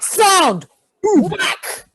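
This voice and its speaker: A woman's voice: very high-pitched, sing-song, drawn-out words, a bit bright, no echo. A woman reading out sound effect cues in short, scripted phrases. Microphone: video call.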